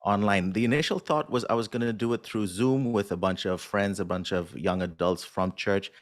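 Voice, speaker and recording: A man's voice: on the low side, moderate pace. A man answering as an interview guest in a casual, unassuming, self-deprecating way, with hesitant phrasing.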